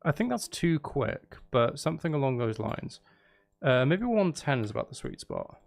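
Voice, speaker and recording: A man's voice: mid-range voice, big pitch swings, moderate pace, creaky. A man streaming casually, muttering and thinking aloud as he works on music. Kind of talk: casual livestream chat while making music. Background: electronic drum beats and synth sounds.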